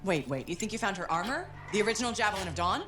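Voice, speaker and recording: A woman playing a capable, determined freelancer mercenary pilot in a video game cinematic, with a brisk, confident manner. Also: mid-range voice, lively intonation, natural voice. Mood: surprised.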